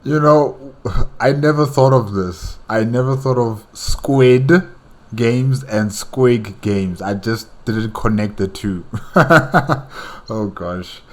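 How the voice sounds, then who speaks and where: A man hosting a reaction video, musing casually and thinking out loud. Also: medium pitch, takes it slowly. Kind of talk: casual commentary.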